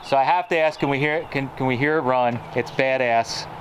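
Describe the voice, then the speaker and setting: A man's voice: medium pitch, slight background noise, low-quality recording. A man hosting a shop tour, eagerly reacting and asking questions about the cars. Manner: enthusiastic, admiring, quick reactions. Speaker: channel host. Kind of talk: casual shop tour chat.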